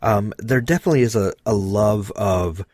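A man's voice: fairly low pitch, moderate pace, a little mellow, no echo, clean studio sound. A man interviewing an author, asking a long question with many fillers and restarts.

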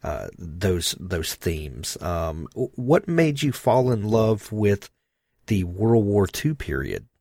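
Slow, halting deep-voiced interviewer. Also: male voice, big pitch swings, dark tone, no room sound, clean studio sound. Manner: halting, many fillers and restarts. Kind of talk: interview question.

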